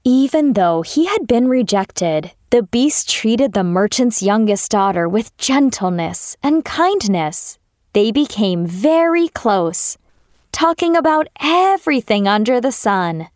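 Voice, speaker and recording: Female storyteller for children's tales: fairly high, animated pitch, recorded close and dry. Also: takes it slowly, no room sound. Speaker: narrator. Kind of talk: scripted children's story narration.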